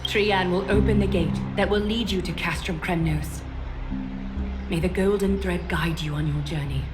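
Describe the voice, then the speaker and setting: Lady doing a regal goddess leader in a game, kinda low voice, solemn and formal, music behind her. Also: steady tone, lightly acted. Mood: solemn, commanding. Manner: formal, measured, ceremonious. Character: regal, solemn female demigod leader giving blessings. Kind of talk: video game character acting.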